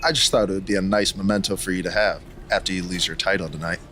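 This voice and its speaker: A man's voice: deep voice, steady tone, fast talker, bright, forceful tone, a little hiss or hum. A man playing a chatty, cocky rival wrestler in a video game cutscene, breezy and falsely friendly. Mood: breezy, smug. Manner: breezy, casual.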